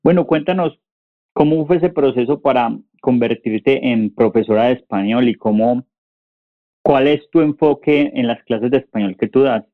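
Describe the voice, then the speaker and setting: A man's voice: mid-range voice, moderate pace, no room sound. A Colombian man hosting a podcast, chatting informally and asking friendly questions. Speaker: podcast host. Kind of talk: casual interview chat. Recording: dull, dry lo-fi sound.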